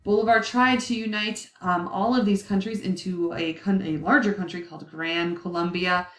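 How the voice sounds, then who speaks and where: A woman's voice: mid-range voice, fairly even intonation, normal speed, fairly bright tone. A woman in her thirties giving a recorded history lecture over slides, chatty and often correcting herself with ums. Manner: conversational, self-correcting, many ums. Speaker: history teacher. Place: indoor room. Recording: roomy, slightly distant webcam audio.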